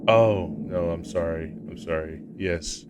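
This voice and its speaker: A young man with a low voice, chatting casually with his live-stream chat in a laid-back, rambling way at a desk mic at home. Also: takes it slowly, noisy background.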